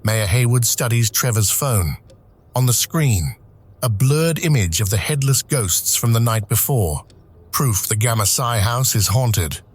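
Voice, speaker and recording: A man's voice: mid-range voice, lively intonation, measured pace, breathy edge. A man reading scripted screenplay narration for an audio drama.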